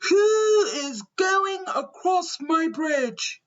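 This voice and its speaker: A woman voicing a mean, greedy male troll in a storybook, making loud, drawn-out, threatening demands. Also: extremely high pitch, animated, muffled recording, voice pushed up, exaggerated voice. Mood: angry, threatening.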